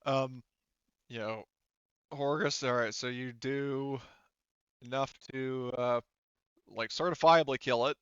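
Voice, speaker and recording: A man talking casually as game master of a tabletop role-playing game, explaining rules haltingly as he checks them, in slightly dull voice-chat audio. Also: medium pitch, fairly even intonation, fairly bright tone, clean tone, no echo.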